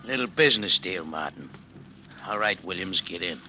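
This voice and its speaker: A menacing gangster speaks in a very deep, hard-edged voice. He gives curt, threatening orders. Faint noise sits behind him. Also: animated, moderate pace, pushed and bright, full character voice, rough recording. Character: cold, menacing male gangster. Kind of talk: 1940s radio drama acting.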